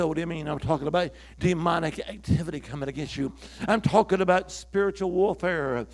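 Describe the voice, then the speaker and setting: A man preaching a sermon to a church, calling out to the congregation for responses and building to emphatic peaks. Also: sits high. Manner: call-and-response preaching, rising to emphatic shouts.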